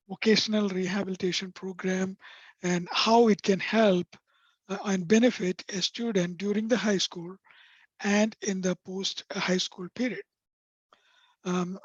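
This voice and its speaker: A man with a non-native English accent and a high-pitched, soft voice, presenting in a webinar and pausing often with fillers. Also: dry recording. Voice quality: high-pitched, sometimes hard to hear. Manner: hesitant, many fillers. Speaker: vocational rehabilitation presenter. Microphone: video call. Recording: dull dry video call audio.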